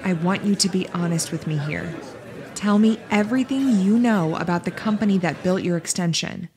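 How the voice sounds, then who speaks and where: A woman's voice: fairly low pitch, moderate pace, a crowd in the background, lightly acted. A woman playing a determined, suspicious investigator who speaks insistently, in an amateur audio drama.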